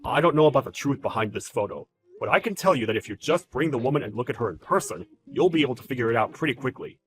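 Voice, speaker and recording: A man playing a calm, polite, deferential employee in a dramatized story, speaking in a measured and formal way. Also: medium pitch, soft background music, natural voice. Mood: calmly firm. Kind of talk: dramatized story narration with character voices.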